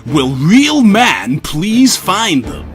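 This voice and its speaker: Male reader as punchy radio DJ-newsman in a story: high-pitched, lively, urgent. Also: extremely slow, soft background music, full character voice. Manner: punchy announcer delivery.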